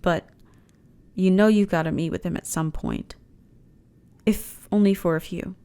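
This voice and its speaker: A woman's voice: fairly low pitch, fairly even intonation, unhurried, clean tone. A woman playing a flirty, reassuring alien starship officer in an intimate ASMR roleplay, talking in a chatty, teasing way. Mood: teasing, coaxing. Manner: teasing, chatty, conversational with sighs and soft laughs.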